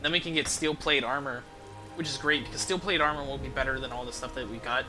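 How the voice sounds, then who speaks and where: A man's voice: medium pitch, fairly even intonation, fast talker, music in the background. A man giving casual, rambling gameplay commentary as a game streamer, with some swearing.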